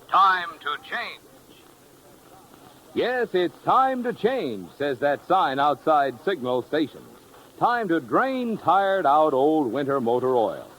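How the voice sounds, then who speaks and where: American guy doing old radio commercial, lively swooping highish voice, punchy slogans, bit of noise. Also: low-quality recording. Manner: emphatic, repeated slogans. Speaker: commercial announcer. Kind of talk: 1950s radio advertising pitch.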